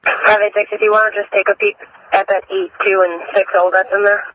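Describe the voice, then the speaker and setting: A man's voice: high voice, little pitch movement, brisk, sharp tone, dry recording. A man working as a police dispatcher, relaying information over a two-way radio. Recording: dull, narrow police radio feed.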